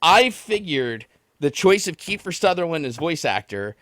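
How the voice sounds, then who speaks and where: A man's voice: on the high side, sing-song, normal speed, a bit bright, no echo. A man hosting a call-in podcast, chatting casually with a jokey, ribbing manner. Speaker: podcast host taking callers. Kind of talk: casual chat.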